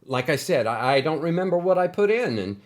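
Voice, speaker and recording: A man's voice: fairly high pitch, big pitch swings, voice pushed up. Man acting a hesitant, apologetic guy, voice raised. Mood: awkward, reassuring. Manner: halting, apologetic. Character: hesitant, polite man in his thirties, former pupil.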